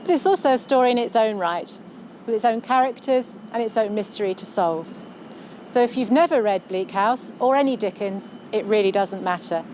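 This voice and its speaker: A woman with a mid-pitched voice presents her work in a measured, articulate way, as a scripted piece to camera. She is recorded out on the street, with traffic ambience audible behind her. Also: darkish tone. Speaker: novelist presenting own book. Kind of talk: scripted promotional narration to camera. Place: outdoors on London streets.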